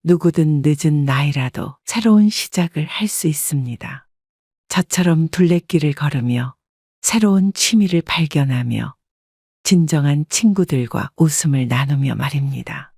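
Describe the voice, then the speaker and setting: A woman in her sixties narrating her own story in the first person, calm and reflective. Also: low voice, moderate pace, dry recording, clean studio sound.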